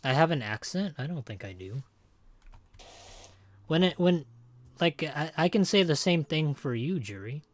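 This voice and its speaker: A man's voice: on the high side, big pitch swings, a little slow, long pauses, dry recording. A young man with an American accent, chatting casually while gaming and rambling with lots of fillers and restarts. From born in Hong Kong, raised in the United States. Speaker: streamer.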